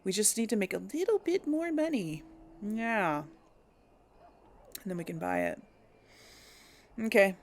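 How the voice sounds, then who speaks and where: A woman's voice: medium pitch, big pitch swings, unhurried, pauses often, slight background noise. A woman chatting casually while she plays a role-playing game, thinking aloud and laughing often. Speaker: game role-play host. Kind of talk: casual let's-play commentary.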